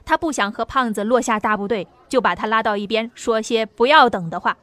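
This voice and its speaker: A woman's voice: a little high, brisk, fairly bright tone, no room sound. A woman narrating a novel as an audiobook, reading a dramatic script. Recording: music under the voice.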